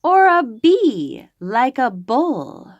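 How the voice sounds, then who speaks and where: An eager storybook parent acted out by a woman, encouraging and playful with a nervous little one. The voice is exclamatory and singsong, often leaping high and swooping widely. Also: sing-song, drawn-out words, dry recording, lo-fi sound, heavily acted. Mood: gentle, coaxing.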